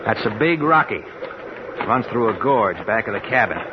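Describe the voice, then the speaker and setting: An actor playing a cocky, sly American escaped convict in a vintage radio drama, bossy and sardonic. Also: male voice, medium pitch, animated, moderate pace, natural voice. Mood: urgent, bossy. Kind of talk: 1950s radio drama acting. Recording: muffled, very noisy vintage radio recording.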